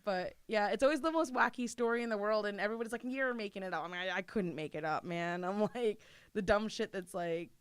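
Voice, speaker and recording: A woman's voice: mid-range voice, fast talker, hardly any pauses, clear, resonant tone, dry recording. Chatty woman in her thirties, dry sound. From Maine. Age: 30s. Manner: chatty storyteller, swears freely, lots of "like". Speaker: interview guest. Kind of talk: casual chat.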